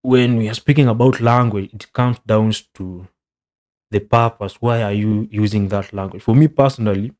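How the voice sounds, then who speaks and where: A man's voice: fairly low pitch, normal speed. A young man from Kenya with a Kenyan accent, chatting casually as a content creator in English, which is not his first language, and dropping "bro" again and again. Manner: relaxed, says "bro" a lot, rambling. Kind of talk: casual reaction commentary.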